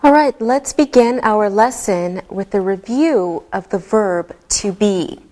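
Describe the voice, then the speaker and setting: A woman leads a beginner English lesson at a very unhurried pace. Her voice sits at a middling pitch. She pronounces each word deliberately and patiently, pausing often so learners can follow. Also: warm tone. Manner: deliberate, clearly enunciated, patient. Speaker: English teacher. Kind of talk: slow, scripted ESL lesson delivery.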